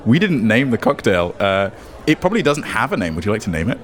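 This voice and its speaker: Guy game master, very lively intonation, chatty and playful, explaining what happens. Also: fairly low pitch, fast. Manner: playful, conversational. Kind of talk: improvised tabletop game narration, casual banter.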